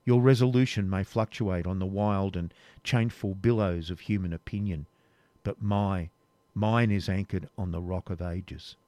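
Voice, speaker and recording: Man doing a firm, dignified young woman captive, pitched up from his own low voice, dry close sound. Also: moderate pace, no echo, close to an everyday voice. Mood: earnest. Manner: earnest, steady pleading. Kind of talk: audiobook reading, period novel.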